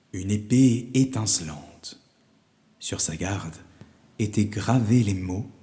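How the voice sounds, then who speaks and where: Slow, mellow male storyteller. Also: fairly low pitch. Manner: measured, dramatic pauses. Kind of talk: scripted children's fairy tale narration.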